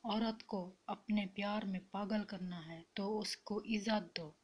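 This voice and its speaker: A woman reading spiritual quotes as scripted narration, in a measured and deliberate manner. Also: mid-range voice, steady tone, darkish tone, slightly rough.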